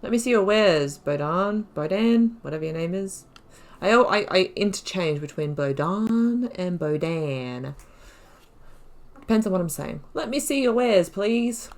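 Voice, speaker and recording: A woman's voice: mid-range voice, animated, a little slow. A woman doing casual playthrough commentary, reading dialogue choices aloud and musing to herself. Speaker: gaming YouTuber.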